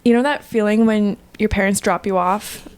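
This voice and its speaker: A woman's voice: mid-range voice, fairly even intonation, normal speed, smooth. A young woman from South Korea whose first language is Korean, co-hosting a podcast with her sisters and giggling often. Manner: giggly, laughs at her own jokes. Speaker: podcast co-host. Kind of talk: casual chat.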